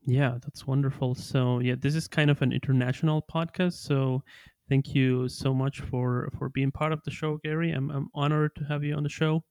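Eager podcast host, stammering and dry. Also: male voice, mid-range voice, steady tone, normal speed, a little mellow, dry recording. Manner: enthusiastic, effusive praise, stammering restarts. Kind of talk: podcast interview.

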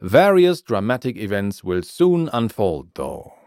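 Male podcast teacher for scripted lessons: slow, deliberate delivery, lively rise and fall in a lowish voice. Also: no echo, clean studio sound. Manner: clear, deliberate, repeats phrases for learners. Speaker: language teacher and podcast host.

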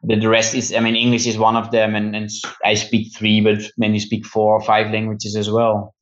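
A man's voice: fairly low pitch, monotone, moderate pace, highs cut off. A man with a Dutch accent, a guest in a podcast interview, speaking English as a second language and searching for words with frequent repeats. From Netherlands. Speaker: physiotherapist guest. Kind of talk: conversational interview.

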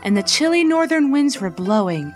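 A woman's voice: sits high, sing-song, moderate pace, soft background music. A woman narrating a radio play in a scripted storybook style. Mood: storytelling. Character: storybook narrator of a holiday tale.

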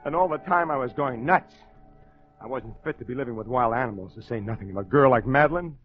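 A man's voice: mid-range voice, fast talker, phone-quality sound, soft background music, close to an everyday voice. An actor playing a tormented, lovestruck con man in a 1940s radio noir, slangy, intense and melodramatic. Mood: anguished recounting. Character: tormented lovestruck male con man, hard-boiled narrator. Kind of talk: 1940s radio noir acting, first-person narration. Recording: old 1940s radio broadcast.

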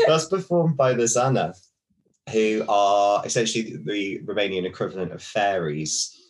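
A man's voice: on the low side, measured pace, a little hiss or hum, rough recording. A man co-hosting a podcast, chatting casually and explaining folklore with many fillers and restarts. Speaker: podcast co-host.

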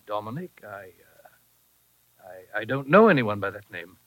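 A man's voice: on the low side, very animated, unhurried, a bit bright, dry recording, rough recording. An actor playing a nervous, self-important businessman in a vintage radio drama, formal, hesitant and defensive. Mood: flustered, evasive.

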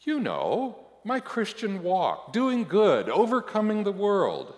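A man's voice: medium pitch, wildly swooping pitch, takes it slowly, pitched above the performer's own voice. A man voicing a flustered believer who stammers and protests to justify himself before God in a spoken sermon story, in a reverberant hall. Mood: nervous, stammering. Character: flustered, defensive believer arguing with God after death. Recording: echoey hall, long reverb.

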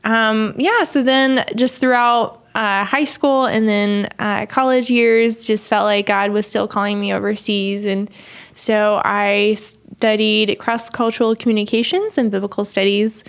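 A woman's voice: medium pitch, steady tone, a little slow, clear, resonant tone. A woman in her twenties from Haiti, a missionary guest talking earnestly in a casual interview, rambling with many fillers.

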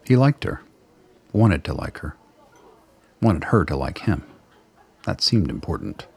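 Very deep, gravelly male radio drama narrator. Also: sing-song, unhurried, darkish tone. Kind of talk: scripted literary radio play narration.

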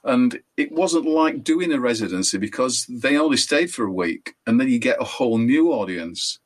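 An older man, a musician being interviewed, telling rambling anecdotes in a relaxed way and correcting himself as he goes. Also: normal speed. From England. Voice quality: high voice. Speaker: musician guest.

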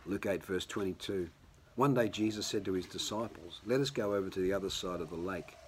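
Croaky pastor with a low voice. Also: male voice, a little fast, a little hiss or hum. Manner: conversational, restarts often. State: hoarse. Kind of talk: sermon. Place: at home.

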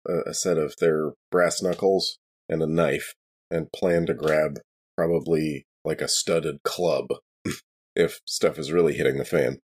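A man's voice: rumbling low, fairly even intonation, a little slow, breathy edge. A man playing a tabletop game, talking in an easygoing, matter-of-fact way. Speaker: player. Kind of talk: casual tabletop game chat.

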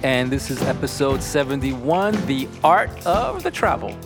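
A man's voice: medium pitch, expressive, a little slow, over music. A man hosting a podcast in casual chat, upbeat and playfully teasing his guests. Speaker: podcast host.

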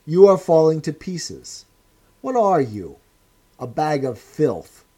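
A man voicing a cold, menacing male interrogator who speaks in a measured, commanding way. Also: fairly high pitch, sing-song, warm tone, breathy edge, heavily acted.

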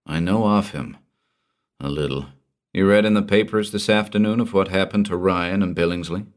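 A man doing a calm steely detective. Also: close to an everyday voice. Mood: measured. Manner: quiet, measured, low murmur. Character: calm, steely male detective, cool and relentless.

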